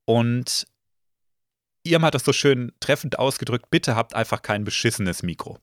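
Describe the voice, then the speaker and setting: Slightly hoarse man hosting a podcast. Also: mid-range voice, measured pace, no room sound, studio quality. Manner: relaxed, many filler sounds. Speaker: podcast host. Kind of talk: casual podcast chat.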